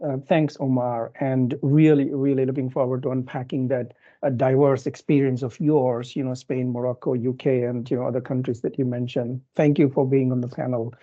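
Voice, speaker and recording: Man, panel host, mellow voice, polite, hesitant, lo-fi call. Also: mid-range voice, flat delivery, normal speed. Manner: polite, hesitant, many fillers. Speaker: panel moderator. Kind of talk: hosting a webinar panel.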